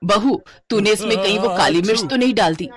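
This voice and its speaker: A woman's voice: mid-range voice, fairly quick, a crowd in the background, close to an everyday voice. A woman voicing a stern, middle-aged mother-in-law who is protective of her children, in a story. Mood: angry scolding. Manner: scolding, indignant.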